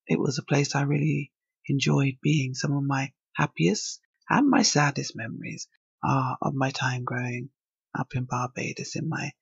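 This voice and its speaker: A woman's voice: rumbling low, gravelly, slightly rough, no echo, lo-fi sound. A woman from England hosting her own podcast, talking alone in a reflective, thinking-aloud way. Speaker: podcast host. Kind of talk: solo podcast monologue.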